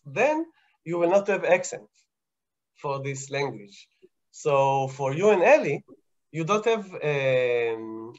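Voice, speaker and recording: Israeli-accented man explaining, slightly high. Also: lo-fi sound. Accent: Israeli Hebrew. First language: Hebrew. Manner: friendly, laughs often, checks in with "right?" and "you know?". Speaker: scientist answering children's questions. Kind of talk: casual explanation to kids.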